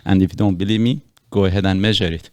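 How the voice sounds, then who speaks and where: A man's voice: deep voice, fairly even intonation, quick pace, no echo. A middle-aged man from Iran hosting a casual podcast, explaining things earnestly in a rambling way with many fillers. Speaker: podcast host.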